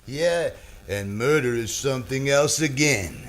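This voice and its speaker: An actor playing a smug, menacing crime boss in an old radio drama. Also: male voice, medium pitch, slow pace, fairly bright tone. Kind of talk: 1940s-50s radio crime drama acting.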